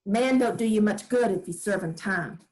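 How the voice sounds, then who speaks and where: A woman's voice: mid-range voice, normal speed, a little mellow, close to an everyday voice, low-quality recording. A woman reading a folksy grandmother. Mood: fond. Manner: drawling, homespun storytelling. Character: folksy old grandmother, rural storyteller. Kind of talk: staged play reading.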